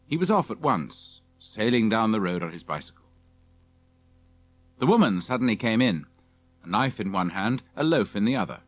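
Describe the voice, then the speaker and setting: A man's voice: lowish voice, big pitch swings, moderate pace, pauses often, dry recording, faint music behind. A man reading scripted narration for a children's audiobook.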